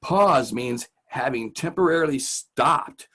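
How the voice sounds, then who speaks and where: A man's voice: mid-range voice, animated, slow pace, sharp tone. A man hosting a casual vocabulary lesson as a teacher, chatty and jokey. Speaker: host, music teacher.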